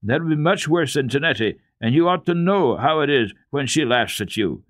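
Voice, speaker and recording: Storybook girl, small, eager and insistent, high and lively, acted by a male reader. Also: normal speed, no room sound, studio quality. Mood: threatening, earnest. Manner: emphatic, earnest, insistent. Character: eager, bossy, determined little Swiss girl. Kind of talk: dramatic children's storybook reading.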